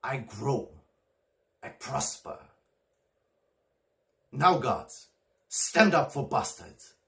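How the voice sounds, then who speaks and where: A man performing a classical stage soliloquy as a bitter, scheming bastard son, deliberate and brooding. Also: mid-range voice, very animated, fairly bright tone, full character voice. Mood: scornful, defiant. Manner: deliberate, brooding, hissing to declaiming.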